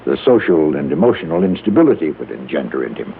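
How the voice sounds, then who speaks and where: An actor playing a prim, pedantic psychologist who pleads in pompous, wordy, precise phrases, in old-time radio style. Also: male voice, rumbling low, sing-song, fast, gravelly, full character voice. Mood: earnest, lecturing. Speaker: radio drama character. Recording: narrow-band vintage radio, faint noise.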